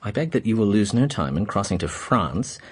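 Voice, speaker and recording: Friendly naive gent, low voice, really lively, radio drama sidekick and storyteller. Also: male voice, brisk, slightly rough. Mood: earnest. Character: genial, naive English gentleman, detective's loyal companion. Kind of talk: radio drama narration and dialogue.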